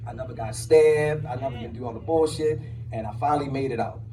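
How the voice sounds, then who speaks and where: A man's voice: medium pitch, fast talker, warm tone, slight background noise. A man in his late forties giving an impassioned personal testimony to a gathering, emphatic and preacherly, with street slang. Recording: distant mic at a live event.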